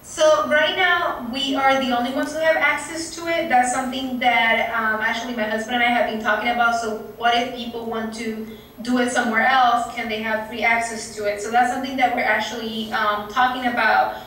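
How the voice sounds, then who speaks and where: A woman with a Puerto Rican Spanish accent presents at a workshop, earnest and halting, with lots of fillers. Her voice sits a bit high. The sound is distant and very echoey. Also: level tone, a bit bright, a little hiss or hum. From Puerto Rico. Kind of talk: conference presentation. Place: conference room. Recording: echoey room, distant mic.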